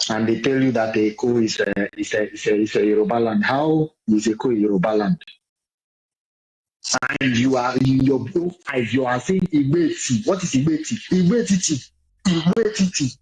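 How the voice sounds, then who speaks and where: A man's voice: mid-range voice, normal speed, darkish tone, breathy edge, rough recording. A man making an impassioned, insistent speech, repeating his points for emphasis, heard as a played clip.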